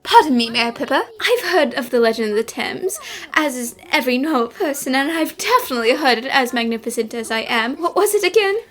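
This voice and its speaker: A woman's voice: sits high, a little fast, soft background music. A woman voicing a perky, cheeky young female detective in an anime fan dub, confident and theatrical. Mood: boastful. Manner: animated, theatrical.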